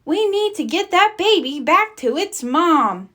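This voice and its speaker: A woman's voice: very high voice, big pitch swings, measured pace, bright, forceful tone, voice pushed up, heavily acted. A woman voicing a confident, encouraging young boy team leader in a storybook, upbeat and earnest.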